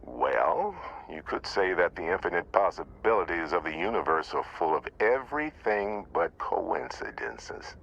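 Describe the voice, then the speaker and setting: A man's voice: low voice, big pitch swings, a little slow, creaky, hardly any pauses, a bit bright, highs cut off, full character voice. A man playing a jovial, roguish space explorer in a video game, breezy, teasing and theatrical. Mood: breezy, probing.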